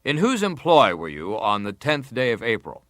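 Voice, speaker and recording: An actor playing an American senator who questions a witness formally, measured and insistent, in a dramatized hearing. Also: male voice, mid-range voice, wildly swooping pitch, fairly bright tone, dry recording, clean studio sound. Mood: formal, probing.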